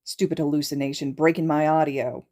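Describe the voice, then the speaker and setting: A woman's voice: low-pitched, fairly even intonation, fairly quick, warm tone. A woman voicing a frustrated, grumbling teenage student in a story.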